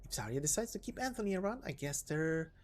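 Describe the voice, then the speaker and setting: A woman's voice: sits low, sing-song, fast, soft background music. A woman voicing a playful, businesslike, scheming female company director from a game story, persuasive and teasing in manner. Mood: sly, upbeat. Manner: persuasive, teasing, matter-of-fact. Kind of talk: dramatic game story reading.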